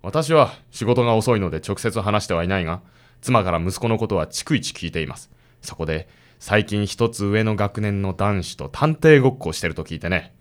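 A man's voice: on the low side, animated, moderate pace, lightly acted. Man acting a stern, stuffy father, sharply inflected. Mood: disdainful. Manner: stiff, formal, disapproving. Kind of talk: radio drama acting.

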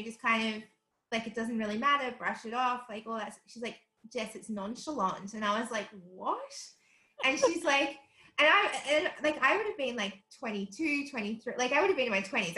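A woman's voice: a little high, brisk, sharp tone, echoey room. A woman in her twenties, raised in South Africa, with a light Australian accent, telling stories animatedly as an interview guest and laughing often. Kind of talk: casual interview chat.

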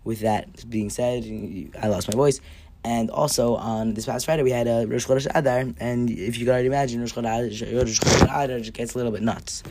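A hoarse young man hosting an upbeat daily Torah lesson podcast, cheerful and stumbling now and then over Hebrew terms. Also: mid-range voice, fairly even intonation, fairly quick, noisy background. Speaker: podcast host teaching Mishnah.

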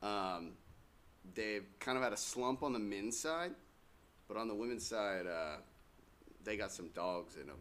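A young man co-hosting a casual sports-betting podcast, relaxed with dry teasing. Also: fairly low pitch, unhurried, pauses often, slight background noise. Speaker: podcast co-host.

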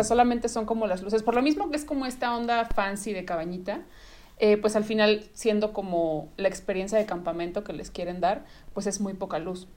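A young woman with a Mexican accent, a podcast guest telling stories in an animated, casual way with lots of slang, in a studio. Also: mid-range voice. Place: studio in front of a camera and light.

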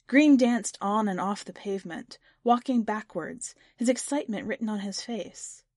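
A woman's voice: mid-range voice, moderate pace, darkish tone, no room sound, studio quality. A woman reading literary fiction aloud as a scripted audiobook narration. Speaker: podcast editor and story narrator.

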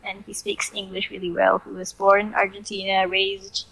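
A young woman co-hosting a podcast in casual chat. She rambles and restarts her sentences, with laughs between thoughts, on a rough home recording. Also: medium pitch, monotone, moderate pace, fairly bright tone, no room sound, poor audio. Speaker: podcast co-host.

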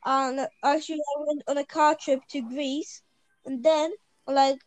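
A woman's voice: high-pitched, steady tone, measured pace, no echo, poor audio. A young woman from Bulgaria chatting casually over her phone microphone, hesitant and repeating her words. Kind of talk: casual chat.

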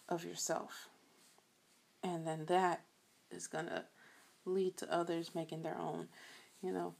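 Woman, soft voice, somewhat low pitch, casual solo podcast, chatty and meandering. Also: gravelly. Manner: rambling, laughs often, addresses listeners as y'all. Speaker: podcast host.

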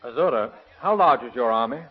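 A man's voice: mid-range voice, expressive, brisk, slightly rough, faint music behind. A man voicing a heroic young commander in a 1930s radio serial, with a gallant, eager delivery. The old recording sounds dull.

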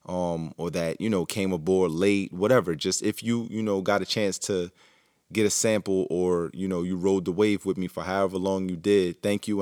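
Deep-voiced man musing alone on mic. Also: dry recording, studio quality. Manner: rambling, reflective, many fillers and restarts. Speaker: podcast host. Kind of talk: casual solo monologue.

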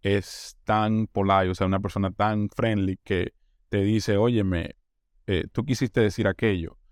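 A man's voice: on the low side, steady tone, a little slow, dry recording, clean studio sound. A Colombian man talking at length in an interview, often restarting words and acting out what others said. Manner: talkative, restarts words, acts out quoted voices. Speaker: interview guest, newcomer. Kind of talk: casual interview.

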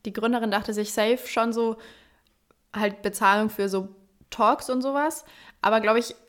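A woman's voice: fairly high pitch, steady tone, moderate pace, a bit bright, clear, resonant tone. A woman from Bavaria with a light Bavarian accent, co-hosting a casual podcast chat, laughing often and rambling with fillers. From Bavaria, Germany. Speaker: podcast co-host. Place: at home, neighbors partying nearby. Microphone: separate remote recording, two takes.

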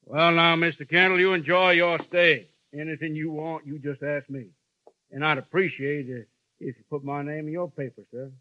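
An actor playing a folksy, controlling frontier sheriff in a 1950s radio western, laconic and authoritative, with an American western accent. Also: male voice, fairly high pitch, fairly even intonation, normal speed, pushed and bright, no room sound. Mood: affable, controlling. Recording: muffled, dry vintage radio broadcast.

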